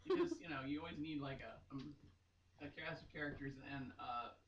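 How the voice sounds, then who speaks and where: A woman's voice: low voice, normal speed, far from the mic, muffled recording. A woman chatting casually with a friend in a silly, self-deprecating way. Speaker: guest reader. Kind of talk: casual banter between friends. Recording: noisy, roomy, off-mic home recording.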